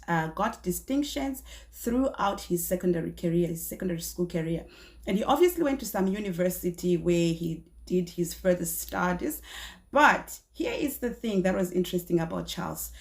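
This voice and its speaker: A woman in her thirties, a little hoarse from a cold, telling a true-crime story to camera in a small indoor room, chatty with many fillers and restarts. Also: fairly low pitch.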